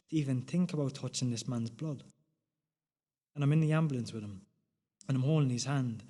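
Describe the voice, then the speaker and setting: A man's voice: mid-range voice, darkish tone, lightly acted. A man acting a shaken, guilt-ridden character in a radio play monologue, recorded with long reverb. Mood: anguished guilt.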